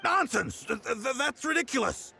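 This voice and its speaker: A man's voice: very high-pitched, expressive, normal speed, gravelly, bright, forceful tone, slight background noise, heavily acted. A man playing a shady, blustering tabloid boss in a video game, stammering and sputtering with indignation. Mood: outraged, panicked.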